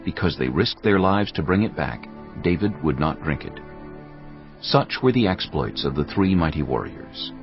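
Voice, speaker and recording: A man with a gravelly, husky voice giving solemn, measured scripted narration of a dramatized Bible. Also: a bass voice, sing-song, dull audio, music in the background.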